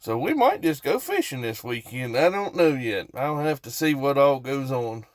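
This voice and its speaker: A man's voice: medium pitch, sing-song, moderate pace, no echo, clean studio sound. A man from West Virginia with an Appalachian accent, telling a story casually to viewers in a folksy, rambling way, repeating himself for emphasis. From West Virginia, United States. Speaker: storytelling vlogger.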